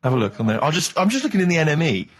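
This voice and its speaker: A man's voice: on the high side, sing-song, racing. A man co-hosting a radio show with dry, sardonic comic banter.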